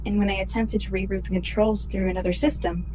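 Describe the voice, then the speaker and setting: A woman playing a calm, formal female starship computer in a sci-fi radio play, speaking in measured, precise phrases. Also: mid-range voice, a little hiss or hum, close to an everyday voice, poor audio.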